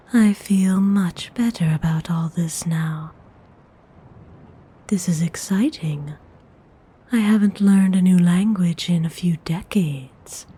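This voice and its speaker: A woman acts out a centuries-old female vampire noble in an ASMR roleplay. Her voice is a soft, husky whisper, lowish and mellow. She speaks with formal, intimate courtesy and a possessive, quietly commanding air. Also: heavily acted. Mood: gentle, pleased. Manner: slow, measured, formal, intimate.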